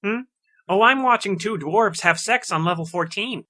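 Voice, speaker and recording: A woman's voice: mid-range voice, normal speed. A woman voicing an idle, childishly frank monster in a cartoon fandub. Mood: nonchalant. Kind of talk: animated comic fandub acting. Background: loud music.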